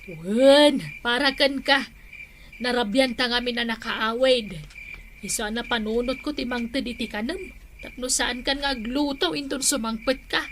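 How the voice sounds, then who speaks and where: A woman's voice: on the high side, unhurried, fairly bright tone, slight background noise, poor audio. A woman playing an exasperated village councilwoman in an Ilocano comedy drama, with a Filipino Ilocano accent. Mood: matter-of-fact. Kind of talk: acted village comedy dialogue.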